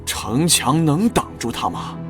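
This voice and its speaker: An actor voicing an anxious, deferential military subordinate in a motion-comic drama. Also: male voice, on the high side, sing-song, a little slow, music in the background. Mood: hesitant, worried.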